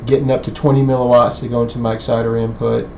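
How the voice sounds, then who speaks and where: A man's voice: medium pitch, level tone, a little mellow. Guy doing an off the cuff tech walkthrough, lots of hesitating, kinda muffled lo-fi audio with noise behind him. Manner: halting, hesitant, thinking aloud. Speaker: presenter of an electronics how-to video. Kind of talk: unscripted technical demonstration. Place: indoor electronics workbench. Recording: dull lo-fi audio with clear background noise.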